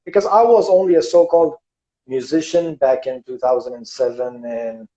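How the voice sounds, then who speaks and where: A man from Afghanistan hosting a casual podcast interview, speaking haltingly with many fillers and restarts. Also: mid-range voice, fairly even intonation, dark tone, lo-fi sound. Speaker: podcast host. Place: Western Australia.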